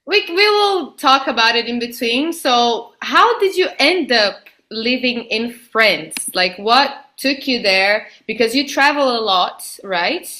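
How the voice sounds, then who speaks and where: A woman's voice: a little high, expressive, takes it slowly, bright, forceful tone. A woman hosting a casual interview, leading with friendly questions and laughing easily as she moves the talk along. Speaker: host.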